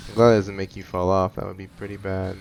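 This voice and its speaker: Laid-back, lowish-voiced man commenting on gameplay. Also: little pitch movement, fast, creaky, a little mellow. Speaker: gaming commentator. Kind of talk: casual playthrough commentary. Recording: faint noise, keyboard and mouse clicks.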